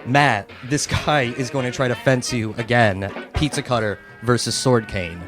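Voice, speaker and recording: A man acts as game master for a tabletop horror game. His voice sits at an ordinary middle pitch, moving between improvised narration and calls for dice rolls, with loud music underneath. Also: normal speed. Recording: music laid loudly under the voice. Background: loud background music.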